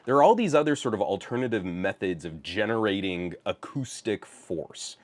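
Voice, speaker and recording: Man, low voice, very animated pitch, casual tech podcast host, rambling. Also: normal speed. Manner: animated, rambling, restarts, reads chat aloud. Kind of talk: casual livestream podcast chat.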